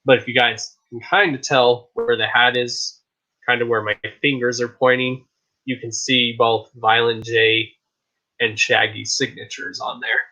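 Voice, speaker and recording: A man's voice: medium pitch, level tone, unhurried, bright, forceful tone, lo-fi sound. Hyped casual man hosting a live stream. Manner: hyped, rambling, repeats "I kid you not". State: slightly raspy voice. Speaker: host of a live streamed talk show.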